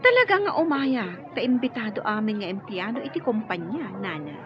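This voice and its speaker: A woman playing a possessive, scheming young woman in an Ilocano radio drama, with an Ilocano accent and drawn-out melodramatic delivery. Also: on the high side, big pitch swings, dull audio, a faint crowd.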